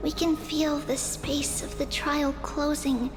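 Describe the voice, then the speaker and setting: A woman's voice: high-pitched, flat delivery, slow. A woman voicing a solemn, kindly girlish priestess in a fantasy game, speaking formally and ceremonially. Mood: solemn, encouraging. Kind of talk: video game voice acting. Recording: long reverb, music behind.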